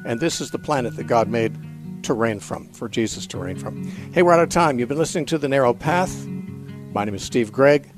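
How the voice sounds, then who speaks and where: A man's voice: mid-range voice, animated, brisk, music in the background. A man hosting a call-in radio show, answering Bible questions thoughtfully with fillers and repeated words. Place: radio studio.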